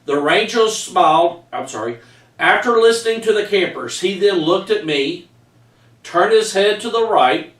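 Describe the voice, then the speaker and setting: A man's voice: sits high, animated, unhurried, fairly bright tone, recorded from a distance. Folksy Texan man reading in an echoey room. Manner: folksy storyteller, says y'all. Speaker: author reading his book aloud. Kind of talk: reading a personal story aloud. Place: home room with framed pictures, indoors. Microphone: far camera.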